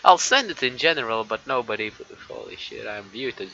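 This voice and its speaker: A man's voice: mid-range voice, lively intonation, moderate pace, vocal fry, sharp tone. A man streaming a game, chatting casually with viewers, swearing now and then and reading story text aloud. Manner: swears casually, talks to chat. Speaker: live streamer. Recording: dull lo-fi mic with background noise.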